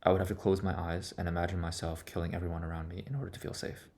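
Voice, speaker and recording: This is a man with a low voice that stays fairly flat in its melody. He speaks in short, deliberate phrases, earnest and confessional. It sounds like a personal monologue in which he reflects aloud. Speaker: vlogger.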